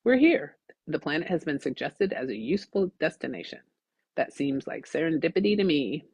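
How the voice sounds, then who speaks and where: Genial, unflappable large man, voiced by a woman; sly sci-fi storybook rogue, lively intonation, close dry sound. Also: sits low, moderate pace, slightly rough, dry recording, exaggerated voice. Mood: cheerfully sly. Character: big cheerful man, breezily unconcerned and sly.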